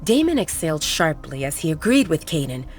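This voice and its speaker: A woman's voice: sits low, big pitch swings, a little fast. A woman narrating a scripted romance audiobook in a dramatic storytelling style. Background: faint background music.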